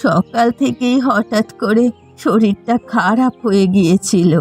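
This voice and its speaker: A woman voicing an ailing, mistreated elderly mother-in-law in an animated village story, meek, plaintive and pleading. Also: on the high side, a little slow, breathy edge, soft background music. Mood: meek, apologetic. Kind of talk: animated moral story voice acting.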